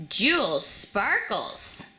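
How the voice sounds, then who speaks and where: Woman storybook reader for young children, higher-pitched and very lively, expressive delivery. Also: very slow. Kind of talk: children's story read aloud.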